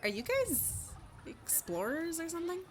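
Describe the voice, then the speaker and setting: A woman's voice: very high-pitched, big pitch swings, normal speed, slight background noise. An actress playing a plainspoken, incredulous village woman in an audio drama. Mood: grateful, curious.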